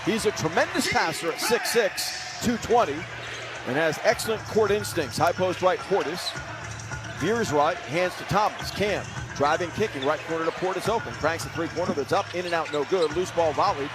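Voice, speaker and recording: A man's voice: fairly high pitch, normal speed, music in the background. An American man giving live basketball radio play-by-play in clipped present-tense phrases. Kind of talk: live sports commentary.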